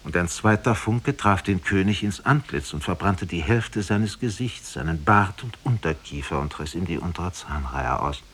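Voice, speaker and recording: Tiefer, belegter Märchenerzähler, lebhaft betont. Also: male voice, deep voice, expressive, normal speed, few pauses, fairly bright tone, slightly rough. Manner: solemn, dramatic storytelling. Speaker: narrator. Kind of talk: scripted 1950s radio fairy-tale narration.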